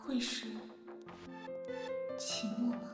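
A woman playing a meek, wounded young office worker in an audio drama, speaking softly and hesitantly. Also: takes it slowly, lightly acted. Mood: pensive. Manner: soft, hesitant, subdued. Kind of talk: modern audio drama acting. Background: faint music.

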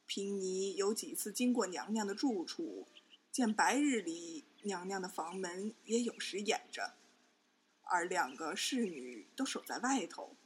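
A woman's voice: medium pitch, expressive, unhurried, breathy edge. A woman playing a pious, insinuating Buddhist nun in a Chinese period audio drama, speaking formally and deferentially. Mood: measured accusation.

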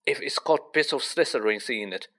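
Performer doing a blunt, lively schoolboy. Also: mid-range voice, normal speed. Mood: wry, grumbling. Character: young schoolboy, blunt and excitable.

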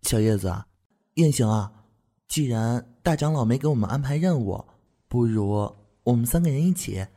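A man's voice: medium pitch, expressive, normal speed, mellow, lightly acted. An actor playing a playful, curious and eager young man in a Chinese fantasy radio drama. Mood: playful, cheerful.

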